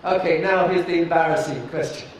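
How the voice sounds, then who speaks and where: Middle-aged british guy doing a keynote, kinda high voice, big echoey hall, mic sounds a bit far. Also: steady tone, background noise. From United Kingdom. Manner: deliberate, emphatic presenter with fillers. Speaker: keynote speaker. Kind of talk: conference keynote talk. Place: large dark stage before an audience.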